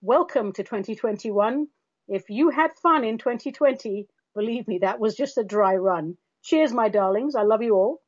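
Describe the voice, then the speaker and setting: A woman's voice: a little high, animated, normal speed, lo-fi sound. A woman from London with a London accent, hosting a podcast in a theatrical, sardonic monologue that calls her listeners darlings. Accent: London English. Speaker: podcast host.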